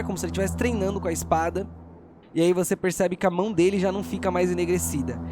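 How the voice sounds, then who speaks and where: Brazilian male game master, tabletop storytelling, somewhat high voice, dramatic narration that addresses players, clear music bed. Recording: music behind the voice.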